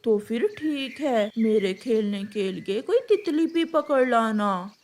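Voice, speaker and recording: A greedy little boy crow in a children's cartoon, played by a woman. He sounds childlike and earnest, high in pitch, with slow, singsong swings in his speech. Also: animated, a little mellow. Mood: eager. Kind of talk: children's cartoon story acting.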